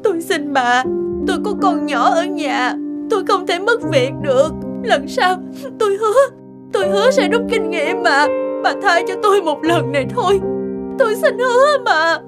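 A woman's voice: very high voice, lively intonation, moderate pace. A woman voicing a timid, clumsy housemaid and mother in a cartoon, deferential and pleading. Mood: tearful pleading. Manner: deferential, plaintive begging. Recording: music under the voice.

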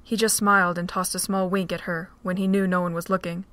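A woman with a fairly low voice reads the narration of a fan audio drama. The sound is dry and close, with almost no room around it. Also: flat delivery, brisk, fairly bright tone, no room sound. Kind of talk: scripted narration.

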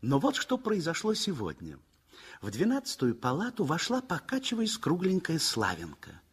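An older man narrating a 1960s radio play in an expressive storytelling manner. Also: fairly high pitch, normal speed, husky. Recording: old radio play with faint music.

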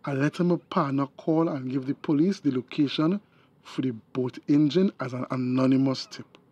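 Man playing a mellow, coaxing Tobagonian boyfriend. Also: mid-range voice, normal speed, husky, no room sound, muffled recording, close to an everyday voice. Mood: coaxing, pleading. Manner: coaxing, reassuring. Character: smooth-talking, scheming boyfriend with a shady past. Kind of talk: radio drama acting.

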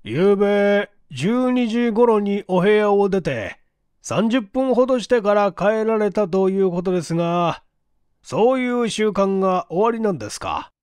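A man's voice: sits high, animated, measured pace, a little mellow, clear, resonant tone, no echo, pitched above the performer's own voice. A man voicing a languid police chief in an audiobook mystery, questioning witnesses in a weary, probing way.

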